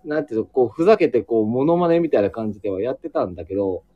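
A man in casual, reflective group chat, speaking haltingly as he searches for his words. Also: medium pitch, lively intonation, narrow, tinny audio. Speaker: acting student, guest in a group chat.

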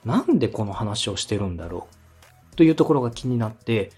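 A man's voice: lowish voice, big pitch swings, a little fast, darkish tone, breathy edge, faint music behind. A man speaking as a podcast host and director, giving polite, step-by-step feedback and acting out short examples as he explains. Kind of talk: structured coaching talk on a podcast.

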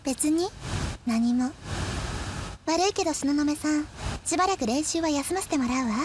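A woman voicing a poised, aloof teenage schoolgirl who speaks in calm, refined sentences in a radio drama. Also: sits high, normal speed, background noise, lightly acted. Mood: cold. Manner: calm, refined speech, cool and curt. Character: poised, aloof teen schoolgirl with a secret singing talent.

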